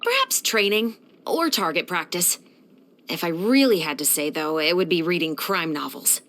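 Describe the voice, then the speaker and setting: A woman's voice: lowish voice, very animated, normal speed, fairly bright tone. An actress playing a stern, dutiful young female patrol captain in a video game, speaking in a composed, authoritative and earnest manner. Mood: matter-of-fact. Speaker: video game character voice actor. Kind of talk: scripted video game character voice lines.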